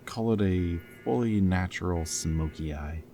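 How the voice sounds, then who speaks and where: A man's voice: sits low, measured pace. A man playing a goofy, flirty, protective rebel captive in a dystopian roleplay audio drama, with a wry, intimate way of talking. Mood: playful, flirty. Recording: background music, loud ambient noise in places.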